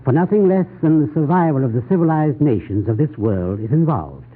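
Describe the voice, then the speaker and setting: A tinny vintage radio recording carries a man with a roughened, husky voice. He delivers formal 1940s news analysis with grave oratory and a widely moving pitch. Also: expressive, a little fast.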